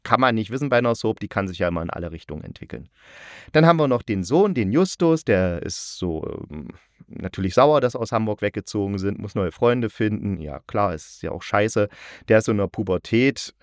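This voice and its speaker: A man's voice: lowish voice, animated, brisk, no echo, clean studio sound. A man hosting a podcast about audio dramas, chatting casually and rambling a little, with wry, ironic asides. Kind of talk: casual solo podcast talk.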